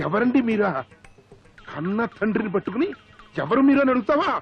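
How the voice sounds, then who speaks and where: Husky, very high-pitched blustering comic uncle. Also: male voice, very animated, fairly quick, heavily acted. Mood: indignant, threatening. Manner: loud, indignant, exclamatory. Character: agitated, blustering comic uncle and aggrieved father. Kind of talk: Telugu film comedy acting. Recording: dull lo-fi film soundtrack, faint music.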